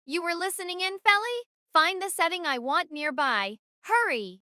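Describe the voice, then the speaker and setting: A commanding young female captain , played by a woman in a fan audio drama, giving crisp, decisive orders. Her voice sits high and lively, with a bright, sharp edge. The recording is dry and close. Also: moderate pace, dry recording, heavily acted.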